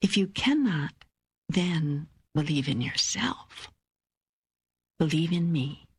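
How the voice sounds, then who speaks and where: An older woman voicing God as a calm, wise, authoritative teacher in an audiobook, with measured, emphatic phrasing and a husky voice. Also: low voice, no echo, exaggerated voice. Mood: solemn, teaching. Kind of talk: audiobook dialogue reading.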